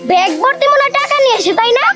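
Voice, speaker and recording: A woman's voice: very high voice, expressive, rapid-fire, loud music behind the voice. A woman voicing a meddling, indignant village aunt in a cartoon drama, complaining and insinuating as she chatters. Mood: scheming.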